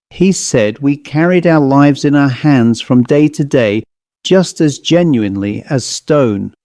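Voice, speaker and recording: Slow-paced man narrating a horror story. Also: mid-range voice, mellow, no echo, studio quality. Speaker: audiobook narrator.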